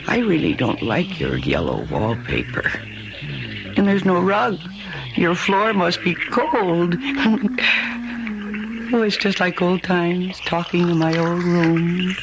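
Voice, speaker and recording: An actress playing a weary, wistful married woman in an earnest, sentimental American radio drama style. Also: lowish voice. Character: weary, wistful married woman attached to her childhood home. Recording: noisy, dull old radio recording.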